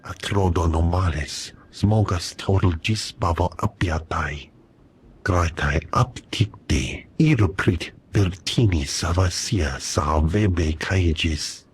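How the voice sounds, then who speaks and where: Gruff alien contact, video game, deep voice, heavy rasp, deliberate delivery. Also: male voice, full character voice. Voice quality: very husky, raspy. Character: gruff male alien contact speaking an invented tongue. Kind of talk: scripted video game dialogue.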